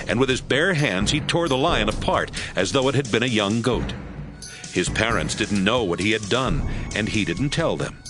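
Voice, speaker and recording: A man giving dramatic scripted narration for a Bible audio drama, as storyteller. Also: on the low side.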